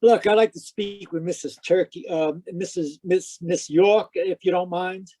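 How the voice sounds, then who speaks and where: A man's voice: high-pitched, steady tone, measured pace, warm tone, no room sound. A man acting a wry, persistent hard-boiled private detective in an old-time radio mystery, telling it matter-of-factly. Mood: businesslike. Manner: wry, matter-of-fact storytelling. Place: close dry sound, remote live performance.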